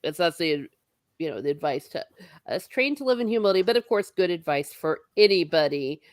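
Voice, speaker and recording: A woman's voice: fairly low pitch, expressive, fairly quick, no room sound, clean studio sound. A woman hosting a casual livestream from her home, chatting, laughing often and reading viewer comments aloud. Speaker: stream host.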